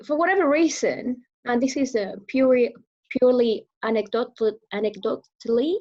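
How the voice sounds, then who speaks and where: A woman with a Venezuelan Spanish accent talks in English, her second language, pausing often and restarting her sentences. Her voice sits a little high. The recording sounds dull and muffled. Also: mellow. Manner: hesitant, many fillers and restarts. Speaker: guest speaker. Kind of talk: casual interview answers.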